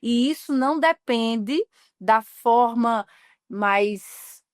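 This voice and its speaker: A woman journalist from Northeast Brazil talks in an earnest, reflective way and stretches out the words that matter. Her voice is smooth and clear. The sound is dry and close. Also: on the high side, no echo. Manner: earnest, slows down on key words. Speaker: journalist guest. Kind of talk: reflective interview talk.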